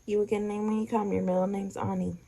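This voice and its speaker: An expectant mother chatting casually at home in a home vlog, leaning on "like" as she talks, sometimes speaking softly to her unborn baby. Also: medium pitch, flat delivery, fast. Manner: chatty, leans on "like" a lot.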